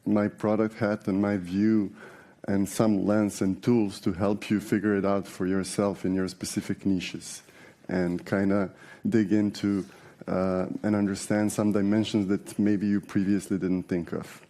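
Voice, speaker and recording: A man's voice: on the low side, little pitch movement, measured pace, mellow. A man in his forties from Serbia, giving a conversational conference talk with many fillers and restarts. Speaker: conference speaker. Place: large conference hall.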